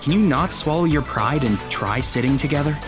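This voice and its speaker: An actor playing a calm, frank, diplomatic teenage boy in an audio drama. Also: medium pitch, a little fast, close to an everyday voice. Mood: calm, reproving. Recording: music bed under the voice.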